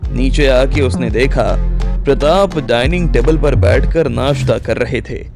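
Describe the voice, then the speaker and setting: A man's voice: mid-range voice, measured pace, vocal fry. A man narrating a scripted audio drama story as its storyteller. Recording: music bed under the voice.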